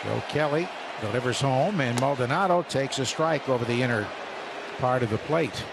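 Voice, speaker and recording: A man calling live baseball play-by-play on the radio, building up on big plays and reading stats between pitches. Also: lively intonation, moderate pace, vocal fry. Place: stadium broadcast booth. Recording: radio broadcast with loud crowd noise.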